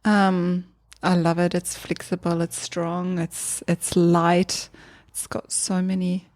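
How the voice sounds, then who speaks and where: A woman from Germany with a German accent, a podcast guest talking in a relaxed, thoughtful way with many small pauses. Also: on the low side, no room sound. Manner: thoughtful, hesitant with many ums. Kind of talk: casual interview chat.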